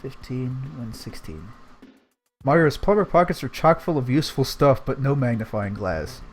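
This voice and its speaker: A man's voice: medium pitch, big pitch swings, normal speed, soft background music. A man narrating a storybook aloud on a casual stream, playfully breaking off to react.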